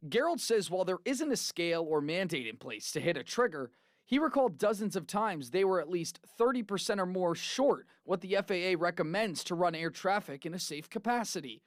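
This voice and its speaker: Higher-pitched American male news reporter, dry sound. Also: lively intonation, fairly quick, dry recording. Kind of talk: scripted news narration.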